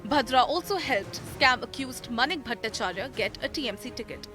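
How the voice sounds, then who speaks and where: A woman's voice: sits high, animated, a little fast. A woman narrating a scripted television news report as a voice-over. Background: music bed under voice-over.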